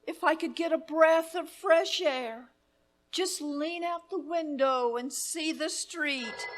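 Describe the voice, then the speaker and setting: A woman's voice: high voice, takes it slowly, noisy background. A woman playing an anxious, demanding, bedridden wife in a melodramatic 1930s-style live radio drama. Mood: agitated, impatient. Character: anxious, imperious bedridden invalid wife, fretful and self-pitying.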